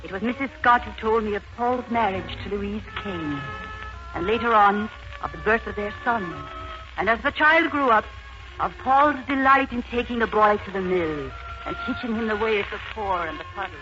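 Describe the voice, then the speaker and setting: An actress in a 1940s radio drama playing a young Irish former housemaid, gentle and sorrowful, with a tender, wistful delivery. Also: female voice, medium pitch, normal speed, natural voice. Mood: wistful narrating. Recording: muffled 1940s radio recording with music.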